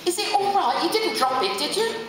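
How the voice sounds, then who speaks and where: A woman's voice: very high-pitched, expressive, normal speed, a crowd in the background, pitched above the performer's own voice. A woman voicing a skinny, cheeky London lad, agitated and whining, in a live story reading. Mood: nervous. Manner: pained, whiny, sarcastic. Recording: very echoey hall with audience noise.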